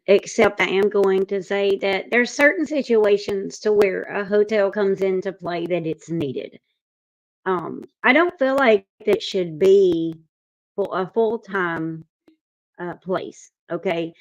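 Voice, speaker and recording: A woman's voice: medium pitch, dull audio. A woman giving her opinion casually as a panelist in an informal discussion.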